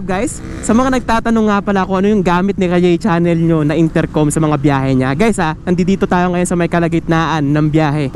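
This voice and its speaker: A man's voice: high voice, a little mellow. A Filipino man giving a chatty, casual vlog review, speaking directly to his viewers outdoors. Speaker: motorcycle vlogger and product reviewer. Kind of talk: casual vlog review addressed to viewers, Tagalog mixed with English. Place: outdoors on a motorcycle in city traffic. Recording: clear outdoor traffic and vehicle noise.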